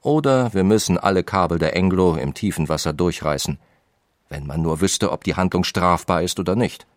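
An actor voicing a 19th-century businessman reading out his frank letters in an expressive, deliberate way for an audio documentary. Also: deep voice, no echo, studio quality, natural voice. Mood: worried, scheming.

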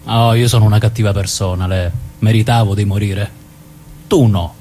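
A man playing the remorseful, gently cynical ghost of a criminal brother in a radio drama, speaking in a resigned, colloquial way. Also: on the low side, steady tone, moderate pace, slight background noise, natural voice. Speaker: actor in a dramatic reading. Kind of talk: dramatic radio play dialogue.

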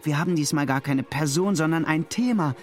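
A man's voice: fairly high pitch, a little fast, lightly acted. A man playing an eager, slightly bumbling podcast co-host, bantering in a casual, jokey way over music. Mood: jovial, flustered. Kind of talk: scripted banter played as casual podcast chat.

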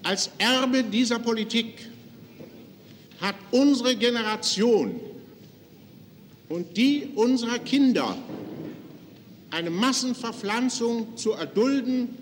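High-pitched man, slow and grave, reverberant. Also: lively intonation. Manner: grave, declamatory, measured. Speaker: state secretary, political orator. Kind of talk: 1940s formal political speech. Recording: reverberant, noisy 1940s archive recording.

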